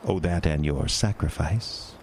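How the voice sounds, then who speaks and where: An actor playing a sinister, smooth-talking male carnival showman in a horror audio drama, with a deep, coaxing, theatrical delivery. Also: unhurried, darkish tone, slight background noise. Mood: sly. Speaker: carnival owner.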